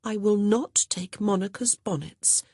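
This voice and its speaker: A woman's voice: medium pitch, unhurried, warm tone, breathy edge, no room sound, clean studio sound, pitched above the performer's own voice. A narrator reading a clever young society woman who speaks sweetly and with mock innocence.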